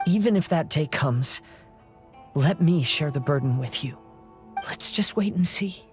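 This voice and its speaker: An actor playing a gentle, earnest young samurai heir in a video game, soft-spoken and poetic in manner. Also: male voice, a little high, expressive, measured pace, soft background music. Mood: earnest pleading. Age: young adult. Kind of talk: dramatic video game dialogue.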